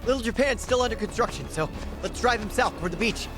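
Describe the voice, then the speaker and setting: Lively, sardonic streetwise local guy. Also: high voice, quick pace, music in the background. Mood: urgent. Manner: sardonic, chatty, explanatory. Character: cynical, wry, streetwise Hawaii local guy. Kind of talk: English-dubbed video game cutscene acting.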